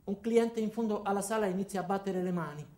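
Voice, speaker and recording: A man narrating a first-person comic monologue as an actor, in a deadpan, terse manner. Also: sits high, fast talker, echoey room.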